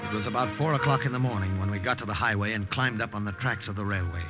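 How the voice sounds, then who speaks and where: Actor as earnest secret agent over dramatic music. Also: male voice, fairly low pitch, quick pace, lightly acted. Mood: tense narration. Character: tense, brusque male secret agent saboteur. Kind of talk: 1950s radio drama acting and narration. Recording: old radio recording, music behind.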